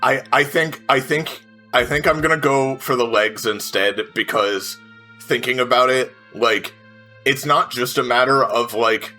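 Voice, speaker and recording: A man playing a tabletop game, chatting casually and thinking aloud in halting, repeated phrases with casual swearing. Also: mid-range voice, bright, forceful tone. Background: faint music.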